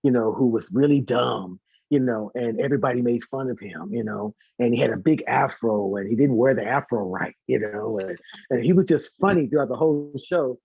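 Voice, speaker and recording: An American man, an interview guest and singer, telling casual anecdotes in an animated way and acting out the dialogue. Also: mid-range voice, brisk, vocal fry, slightly rough. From St. Louis, United States. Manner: animated, acts out quoted dialogue. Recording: echoey, dull lo-fi audio.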